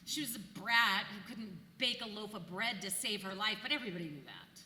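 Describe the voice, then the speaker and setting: A woman's voice: mid-range voice, lively intonation, fairly quick, pushed and bright. A woman in a staged play reading, playing a bawdy, weary seventeenth-century village housewife with a mocking, emphatic way of talking. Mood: indignant, mocking. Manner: mocking, irreverent, emphatic storytelling. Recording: echoey hall, distant, noisy room tone.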